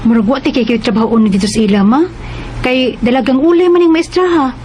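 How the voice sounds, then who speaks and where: An actress playing a grateful, eager nineteen-year-old working student daughter in a radio drama. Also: female voice, high voice, fast, mellow, a little hiss or hum, natural voice. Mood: hopeful, earnest. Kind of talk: Cebuano radio drama acting.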